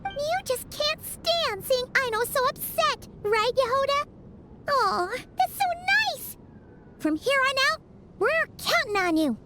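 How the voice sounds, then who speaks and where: A woman voicing a small, childlike fairy companion in a video game, squeaky, perky and supportive. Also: animated, slow pace, heavy background noise, full character voice. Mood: encouraging, cheerful. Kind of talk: English video game cutscene voice acting.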